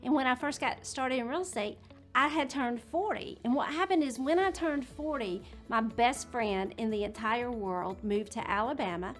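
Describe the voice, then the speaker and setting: A woman's voice: on the high side, normal speed, faint music behind. A woman in her late forties, a real estate agent, telling her story in a chatty, candid way. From Georgia, USA. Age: 40s. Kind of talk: casual talk to camera.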